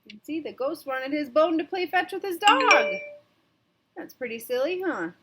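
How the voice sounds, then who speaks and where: A woman with a high voice reads aloud to young children. Her storytelling is expressive and interactive, pitched to draw little listeners in. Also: normal speed, heavy background noise.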